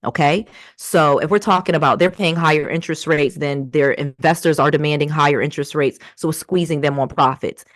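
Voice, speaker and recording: This is an American woman in her forties with a slightly low voice. She speaks informally, like a coach hosting a live session, leaning hard on key phrases and repeating them to persuade. The sound is dry, with very little room in it. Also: steady tone, brisk, few pauses, no room sound. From Connecticut, United States. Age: 40s. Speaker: real estate investor and coach hosting a livestream. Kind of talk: casual motivational livestream talk.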